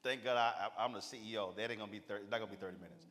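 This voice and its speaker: A man's voice: fairly low pitch, very fast, a bit bright. Joking man in an echoey hall. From Texas. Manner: loose, teasing, drawling Southern talk. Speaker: panel moderator and CEO. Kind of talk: joking panel moderation. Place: stage in a large hall. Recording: reverberant hall sound.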